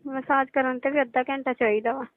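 A woman's voice: sits high, fairly even intonation, brisk. A woman with a Punjabi accent chatting casually and intimately on a phone call. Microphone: telephone. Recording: narrowband tinny phone-call audio.

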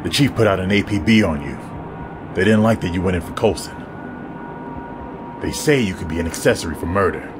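A man's voice: deep voice, expressive, brisk, long pauses, noisy background. A man acting an earnest, dogged police detective in an audio drama, hard-boiled and pressing. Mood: wary.

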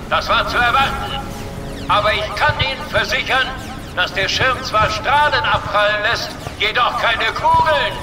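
A man's voice: very high voice, reverberant, long reverb tail, recorded from a distance. An actor playing a pompous, authoritarian general who barks orders at his troops. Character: blustering military general, male, middle-aged, authoritarian.

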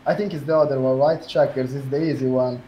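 A man's voice: mid-range voice, fairly even intonation, fast, dull audio, slight background noise. A young man chatting as a speed date contestant, laid-back and hesitant, with many fillers. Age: young adult. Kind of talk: casual chat. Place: indoor room. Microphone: headset on a video call.

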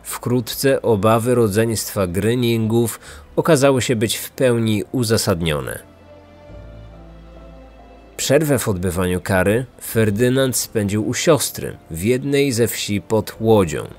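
A man delivers scripted true-crime narration as a podcast host. His voice is on the low side and his telling is grave, with faint music behind him. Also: lively intonation, slow pace, darkish tone.

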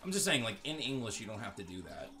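A man's voice: on the low side, big pitch swings, fast, creaky, background noise. A man giving casual gameplay commentary in a joking, sarcastic, crude way. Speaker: gaming streamer.

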